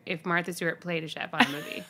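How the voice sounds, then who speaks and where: A woman's voice: lowish voice, wildly swooping pitch, fast, bright, forceful tone. A woman co-hosting a podcast in casual comedic chat, with a dry, blunt, sardonic way of talking. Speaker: podcast co-host.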